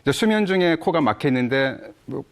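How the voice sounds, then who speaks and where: A man speaking as a medical expert on a morning talk show, explaining a condition in a measured way. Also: fairly high pitch, animated.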